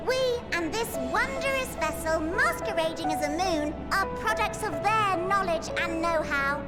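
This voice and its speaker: A little rabbit-like creature chatters in an extremely high voice. It is self-important and theatrical, rattling through wordy, old-fashioned phrases with cheerful authority. Prominent game music plays behind it. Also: female voice, lively intonation, unhurried. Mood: proud, cheerful. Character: chirpy, long-winded, self-important little rabbit-like moon keeper. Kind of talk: scripted video game dialogue.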